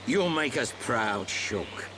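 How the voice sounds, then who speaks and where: A man playing a gruff, caring older soldier and mentor in a game, with a British accent and blunt, colloquial talk. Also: medium pitch, very animated, slow pace, background noise. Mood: stern, encouraging.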